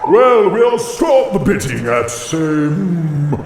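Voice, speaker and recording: A man playing a pompous, bossy mutant frog auctioneer in an audio drama, with theatrical, drawn-out patter. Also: on the high side, sing-song. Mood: showy, hawking. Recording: noisy, echoey, distant auction hall sound.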